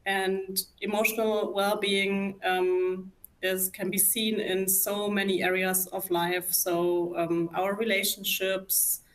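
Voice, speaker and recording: A woman's voice: medium pitch, level tone, slow pace, poor audio. Woman, German accent, non-native English, live talk guest, hesitant, thoughtful, many fillers. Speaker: guest, former psychiatry worker.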